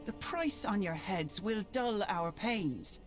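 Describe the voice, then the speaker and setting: A female assassin in a fantasy game, drawing out each threat slowly. She is defiant, taunting and ominous at a medium pitch. Loud music runs behind the voice. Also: lively intonation. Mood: menacing. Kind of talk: fantasy game cutscene acting. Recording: voice over loud background music.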